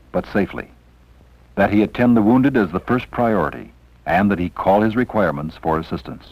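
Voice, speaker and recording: A deep-voiced man narrates a 1970s training film. His delivery is formal and measured, with deliberate emphasis on each phrase of the script. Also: no echo, low-quality recording.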